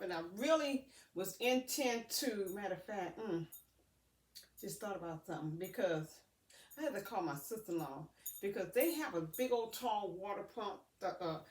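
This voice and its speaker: A woman vlogger chatting casually to viewers in a rambling, folksy way, often thinking aloud. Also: mid-range voice. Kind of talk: casual unboxing vlog chat to viewers. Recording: roomy, distant mic, faint noise.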